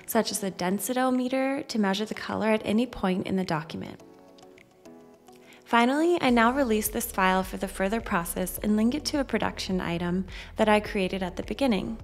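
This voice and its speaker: A woman reading a scripted software demonstration in a measured, instructional way. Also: mid-range voice. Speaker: software demo narrator. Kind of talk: scripted tutorial narration. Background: background music.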